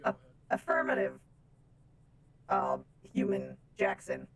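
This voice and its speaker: A woman's voice: rumbling low, huge pitch swings, takes it slowly, voice pushed down, full character voice. A woman voicing a stilted, literal-minded female robot from space in improvised game roleplay, with formal robotic phrasing. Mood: polite, stilted.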